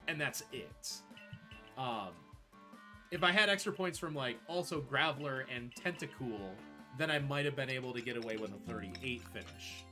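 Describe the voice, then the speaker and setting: Bright-voiced man commentating his gaming run. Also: medium pitch. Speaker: speedrunner playing live. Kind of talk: casual live gaming commentary. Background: video game music.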